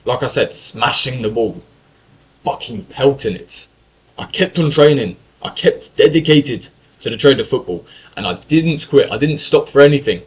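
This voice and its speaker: A man's voice: mid-range voice, expressive, normal speed, rough recording. A young man from England telling a story in a casual monologue, talkative and full of swearing and self-corrections. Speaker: YouTuber.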